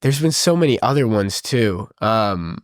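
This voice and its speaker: A man's voice: lowish voice, lively intonation, unhurried, no room sound, clean studio sound. A man with a stuffy nose, talking earnestly and enthusiastically in a casual spiritual conversation. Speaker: podcast host.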